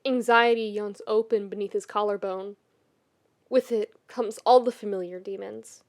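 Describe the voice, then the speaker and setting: A woman reading fiction as a dramatic, emotive narrator, measured and with deliberate pauses. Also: mid-range voice, steady tone. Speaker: podfic narrator.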